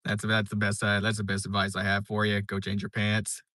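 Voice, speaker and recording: A man's voice: fairly low pitch, monotone, brisk, bright, forceful tone, no echo, studio quality. A young man live streaming, chatting casually and reading viewer comments, rambling with lots of fillers and restarts. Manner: laid-back, rambling, many fillers and restarts.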